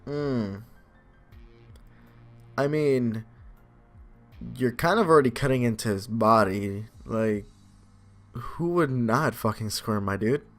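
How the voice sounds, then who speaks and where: A man's voice: medium pitch, slow pace, pauses often. A young man hosting a let's play, commenting casually in a rambling, self-deprecating way. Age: young adult. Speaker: gaming video host. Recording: faint game music behind voice. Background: game music.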